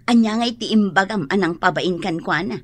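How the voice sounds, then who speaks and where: A woman's voice: medium pitch, normal speed, breathy edge, natural voice. A woman with a Filipino accent playing a playful, gossipy friend in a radio drama.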